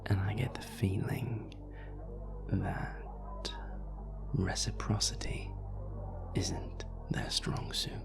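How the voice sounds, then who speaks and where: A man playing a suave, seductive vampire in an intimate audio roleplay, murmuring in a breathy, gravelly voice with flirtatious, elegant drawl. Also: low voice, steady tone, drawn-out words, pauses often, whispered, full character voice. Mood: gentle, probing. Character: suave seductive male vampire stranger, teasing, world-weary. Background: music.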